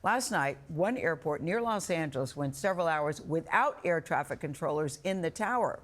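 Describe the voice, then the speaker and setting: A woman's voice: low-pitched, lively intonation, moderate pace. A woman reading a scripted introduction as a broadcast news anchor.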